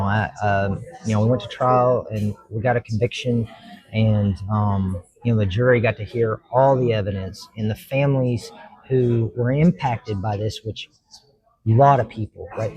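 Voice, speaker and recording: A middle-aged man with a Kentucky accent, a judge talking earnestly as a podcast guest, pausing often and restarting his sentences. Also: medium pitch, a little mellow, poor audio. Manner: earnest, halting, many fillers and restarts. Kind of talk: casual interview talk.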